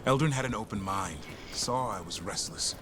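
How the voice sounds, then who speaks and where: An actor playing a restless elf warrior in a video game, gruff but gentle with animals. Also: male voice, lowish voice, normal speed, over music, natural voice. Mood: reflective, wry.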